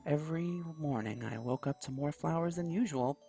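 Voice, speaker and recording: A man quietly reading a visual novel aloud in first person, soft-spoken. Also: fairly high pitch, normal speed, creaky, soft background music. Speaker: video game YouTuber.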